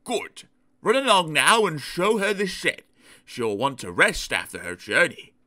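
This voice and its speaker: Woman playing a measured, authoritative railway boss. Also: sits low, wildly swooping pitch, bright, forceful tone, voice pushed up, heavily acted. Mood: brisk.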